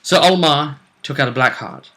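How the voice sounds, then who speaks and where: A man's voice: a little high, normal speed, sharp tone. A man giving a casual, conversational recap of a tabletop role-playing game as its host, often trailing off mid-sentence.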